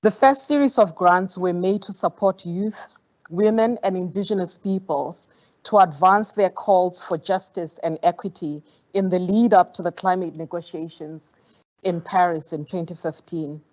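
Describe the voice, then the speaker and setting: A woman whose first language is Setswana, giving a measured, formal conference speech as a foundation program director. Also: on the low side, darkish tone, lo-fi sound. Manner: measured, formal, with occasional stumbles. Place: conference hall.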